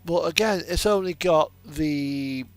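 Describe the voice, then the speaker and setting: A man's voice: on the high side, slow, no echo. A man giving casual, rambling game commentary in a conversational, opinionated, mildly sweary way. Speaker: streamer playing a truck simulator. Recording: music playing behind the voice. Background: radio music.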